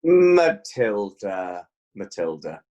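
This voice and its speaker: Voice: low voice, very animated, drawn-out words, dark tone, dull audio, full character voice. A stammering fussy court advocate, acted out.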